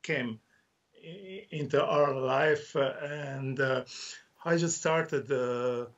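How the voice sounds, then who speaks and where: A man in his forties from Croatia, with a Croatian accent and Croatian as his first language, talking haltingly as an author interviewed, with many fillers and restarts. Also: mid-range voice, highs cut off. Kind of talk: interview guest.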